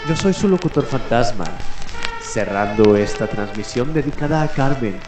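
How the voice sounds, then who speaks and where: A man hosting a late-night radio show, delivering a reflective, explanatory monologue with deliberate pauses in an announcer persona. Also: mid-range voice, animated. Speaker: host of a late-night mystery radio program. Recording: roomy echo with music behind. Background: music.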